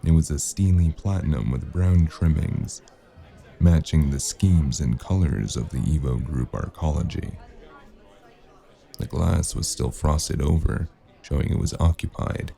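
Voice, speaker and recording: Very deep, gravelly noir detective played by a man. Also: measured pace, full character voice. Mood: brooding narration. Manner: laconic, deadpan. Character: weary wounded noir detective, gruff, dry-witted, narrating his case. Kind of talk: noir audio drama narration and dialogue.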